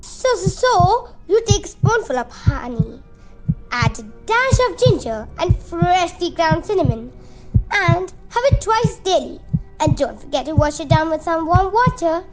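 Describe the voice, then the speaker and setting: A woman's voice: very high voice, very animated, a little slow, over music, full character voice, rough recording. A woman playing a bold, fussy mother with a chatty, insistent manner in an amateur radio play. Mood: flustered, babbling. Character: Doris, outspoken, fussy, bold village mother.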